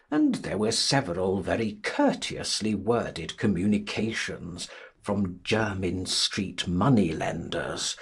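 A man narrating a literary audiobook in a dramatic, theatrical and measured style. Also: fairly low pitch, slightly rough.

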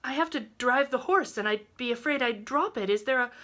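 Woman acting a high-voiced, anxious young woman. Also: fairly quick, pushed and bright. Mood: anxious, pleading. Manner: hesitant, polite, earnest. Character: anxious, tender-hearted, squeamish young woman.

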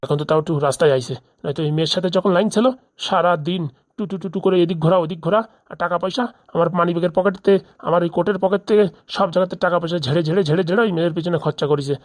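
A man's voice: high-pitched, very fast, no room sound, clean studio sound, close to an everyday voice. A man voicing a grumbling, distrustful middle-aged father in a comic cartoon, with colloquial Bengali-accented rambling.

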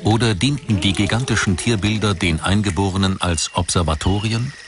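A man narrating a scripted documentary in a measured, storytelling delivery. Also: fairly low pitch. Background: music.

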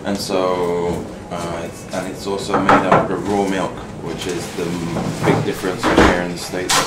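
A man's voice: deep voice, little pitch movement, measured pace, heavy background noise. A man in his thirties who works in a cheese shop, explaining the products in a plain, matter-of-fact way with some hesitation. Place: busy cheese shop, indoors. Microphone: camera mic from a distance. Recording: echoey shop recorded from far off with loud crowd.

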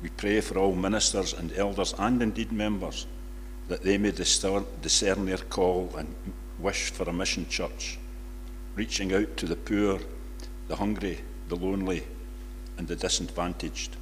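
An older man reads a public prayer in solemn, measured phrases in a church. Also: deep voice, fairly even intonation. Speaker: congregation member leading prayers. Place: large church, at a lectern. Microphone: lectern microphone. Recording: reverberant church hall, faint background noise.

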